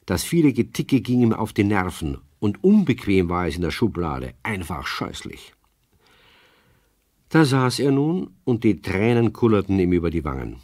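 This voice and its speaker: A man narrating a children's radio play as an expressive storyteller, reading from a script. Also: lowish voice, moderate pace, no echo, clean studio sound.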